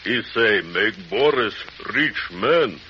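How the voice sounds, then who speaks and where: Earnest dim Russian giant, broken English, very lively pitch, slow halting delivery, old radio drama. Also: male voice. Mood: naive pride. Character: big, simple-minded, earnest Russian strongman. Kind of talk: 1940s radio acting. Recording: muffled, noisy old radio recording.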